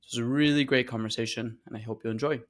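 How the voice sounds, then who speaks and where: A man hosting an interview podcast, introducing his guest and asking relaxed questions with filler words. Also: mid-range voice, quick pace. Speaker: podcast host.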